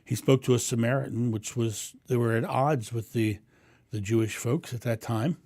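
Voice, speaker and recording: A man with a booming voice hosting a live stream, answering viewers' questions in a rambling, animated way and acting out thoughts. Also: moderate pace, dry recording. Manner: animated, acts out inner thoughts with raised voice; rambling with frequent ums and restarts. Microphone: condenser mic through a mixing board.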